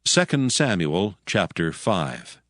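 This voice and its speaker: Male narrator, lowish voice, solemn measured scripture reading over a clear music bed. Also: big pitch swings, fairly bright tone.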